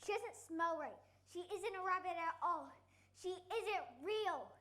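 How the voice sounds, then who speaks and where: Woman as a bright, high-pitched teasing wild rabbit. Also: a little slow, heavily acted. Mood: scornful. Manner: taunting, challenging. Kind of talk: readers' theater storybook performance.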